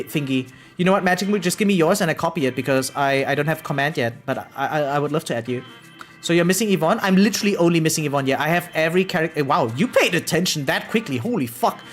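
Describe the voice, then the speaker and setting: Excitable gaming streamer over music. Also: medium pitch. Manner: excitable, swears freely, slangy. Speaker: variety game streamer. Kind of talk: casual livestream chat about gacha games. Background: background music.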